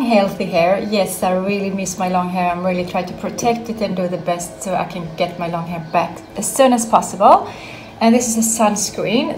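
A woman's voice: mid-range voice, a little mellow, echoey room, a little hiss or hum. A woman in her thirties, a perfume vlogger, chatting casually and upbeat about her favourite scents. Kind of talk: casual vlog chat.

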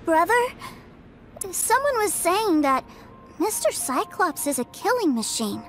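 A woman voicing an innocent, excitable little boy, an adoring younger brother in a video game, with a childlike voice. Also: extremely high pitch, unhurried, heavily acted. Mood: innocent, eager. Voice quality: childlike, bright-eyed. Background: game music.

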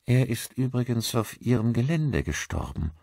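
Audiobook role: talkative village woman played by a man, raised pitch, conversational gossip about local misfortunes. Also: sing-song, moderate pace, vocal fry, darkish tone, dry recording, studio quality. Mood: wistful, chatty. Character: chatty village woman sharing local tragedies.

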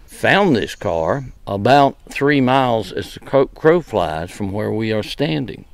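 Low gravelly man explaining a car. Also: lively intonation. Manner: measured, detailed explaining. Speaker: car owner. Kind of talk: casual showing of a car.

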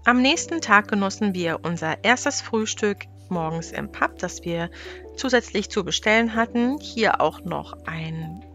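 A woman's voice: mid-range voice, animated, measured pace, fairly bright tone, faint music behind. A woman narrating her family travel vlog in a scripted, chatty voice-over, telling it plainly with small restarts. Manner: chatty, matter-of-fact storytelling with small restarts. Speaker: travel vlogger. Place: voice-over, recorded apart from the pictured scenes.

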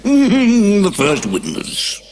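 Woman doing a deep-voiced stern old king. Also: huge pitch swings, extremely slow, a little mellow, a little hiss or hum, exaggerated voice. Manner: stern, pompous, with hums. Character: stern pompous old King of Hearts judge.

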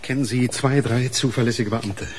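An actor playing a weary, brusque police detective chief in a naturalistic radio crime drama. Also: male voice, mid-range voice, fairly even intonation, moderate pace, very noisy, lightly acted. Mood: businesslike. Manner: curt, probing, businesslike. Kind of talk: naturalistic German radio play acting.

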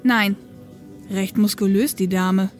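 A woman's voice: mid-range voice, steady tone, takes it slowly, faint music behind, close to an everyday voice. A woman playing a young, matter-of-fact helicopter co-pilot and caring older sister in a German audio drama. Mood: casual, friendly.

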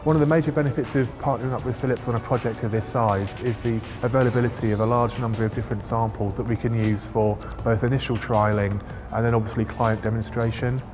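A man's voice: mid-range voice, fairly even intonation, fast talker, few pauses. A man, explaining a project in an interview as a consultant. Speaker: lighting consultant. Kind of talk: interview explanation for a case study video. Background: music.

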